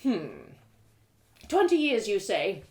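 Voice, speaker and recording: Woman putting on a pompous, fussy older gentleman, swooping pitch. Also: huge pitch swings, slow. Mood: pondering. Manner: affected, exclamatory. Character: fussy, pompous older male boot customer, snobby dandy.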